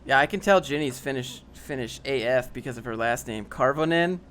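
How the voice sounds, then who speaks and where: A man's voice: mid-range voice, brisk, fairly bright tone, slight background noise. A young man casually reading and answering chat on a livestream, dry and sarcastic, often rambling.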